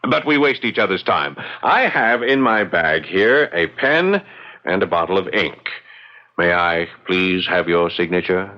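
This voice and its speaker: A wry old-time gentleman detective, lowish swoopy voice, muffled radio play sound. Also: sing-song. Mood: wry, teasing. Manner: courteous, measured, hesitant uhs, teasingly sardonic. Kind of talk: radio drama acting. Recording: dull, lo-fi radio drama recording.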